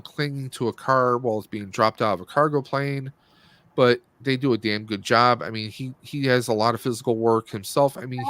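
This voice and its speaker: A man's voice: mid-range voice, a bit bright, no echo. Rambling man casually chatting about films. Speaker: live stream host reviewing movies. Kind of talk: casual movie review chat.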